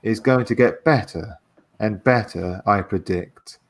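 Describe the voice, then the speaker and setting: A man casually discussing politics in a halting way, repeating words as he searches for phrasing. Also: fairly low pitch, a little hiss or hum. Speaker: guest discussing a political transcript.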